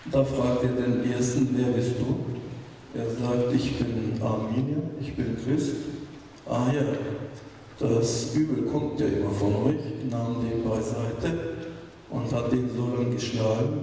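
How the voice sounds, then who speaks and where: A man's voice: mid-range voice, dark tone. A man in his forties speaks into a handheld mic in a large wood-panelled hall, his voice heavily echoing and long-ringing. The mic sits at some distance from the recording, and a faint hiss lies underneath. He tells a story with dry humour while holding back a strained voice. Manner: storytelling, wry jokes. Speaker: politician giving a speech. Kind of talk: speech at a memorial event. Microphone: handheld microphone. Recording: echoey hall recorded from far off.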